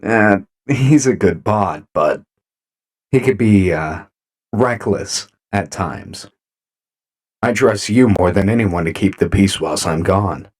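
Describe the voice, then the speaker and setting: Guy as calm robot boss, deep voice, takes his time, courteous, audio drama. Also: takes it slowly. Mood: earnest, friendly.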